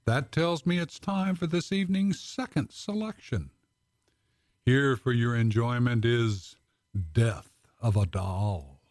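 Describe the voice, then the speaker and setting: A man's voice: medium pitch, slow pace, darkish tone. A man presenting a radio drama with a spooky scripted introduction.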